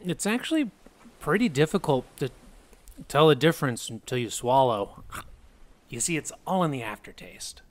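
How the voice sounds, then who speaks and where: A man voicing a mild, obliging native companion to a knight in a cartoon, speaking in a polite, deadpan, understated way. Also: medium pitch, moderate pace, natural voice. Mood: mild, matter-of-fact. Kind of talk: dry comic cartoon acting.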